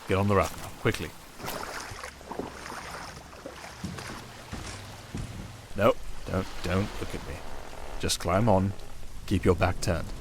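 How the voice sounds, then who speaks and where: A man's voice: low-pitched, background noise, lightly acted. A British man playing a sardonic, restrained siren in an intimate ASMR roleplay, speaking in a wry, hesitant, confiding way. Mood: urgent. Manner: wry, hesitant, confiding, addressing one listener. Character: sardonic, restrained, softly dangerous male siren sea creature. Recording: water and storm ambience behind voice. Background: running water and rain ambience.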